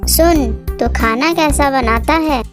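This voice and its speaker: A woman's voice: high voice, slow pace. A woman voicing a snooty, short-tempered old woman in a Hindi cartoon story, bossy and scolding. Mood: bossy, curt. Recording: music playing behind the voice.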